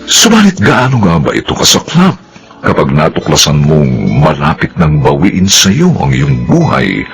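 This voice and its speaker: A man with a Filipino accent giving solemn, measured, scripted narration as the narrator of a radio drama. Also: very deep voice, wildly swooping pitch. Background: loud background music.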